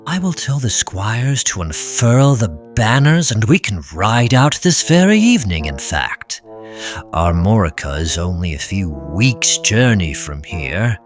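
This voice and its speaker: Sly, deep-voiced sardonic knight. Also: male voice, big pitch swings, unhurried, faint music behind. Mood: mocking. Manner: sly, mock-courteous, theatrical. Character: Sir Kay, sardonic mocking male knight. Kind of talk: audio drama acting.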